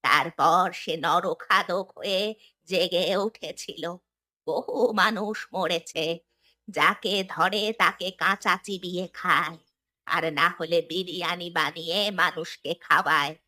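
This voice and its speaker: A grave old village healer, acted by a woman in a horror cartoon. She talks slowly and ominously, with a bright, forceful voice that sounds wary and knowing. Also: lowish voice, exaggerated voice. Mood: ominous warning. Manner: ominous, deliberate storytelling.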